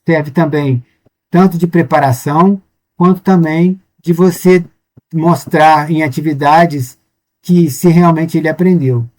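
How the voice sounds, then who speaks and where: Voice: mid-range voice, darkish tone. Sotaque brasileiro, explicação didática, frases interrompidas e retomadas. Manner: informal, with frequent restarts and "tá?", "ok?" checks. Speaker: teacher presenting a course. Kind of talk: online class, explaining to teachers and mothers.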